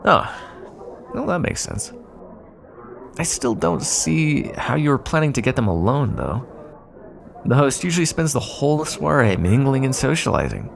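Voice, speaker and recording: A man's voice: mid-range voice, big pitch swings, a little slow, gravelly, long pauses, slight background noise. A man playing a sly, teasing vampire in an intimate, sarcastic ASMR roleplay monologue. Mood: wry, coaxing. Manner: sarcastic, teasing, drawling asides. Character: sly teasing male vampire bargaining with his hunter rival.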